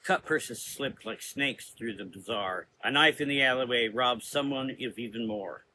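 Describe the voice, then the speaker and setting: A man's voice: mid-range voice, moderate pace, bright, forceful tone, slight background noise. A man reading scripted narration for a gothic horror story.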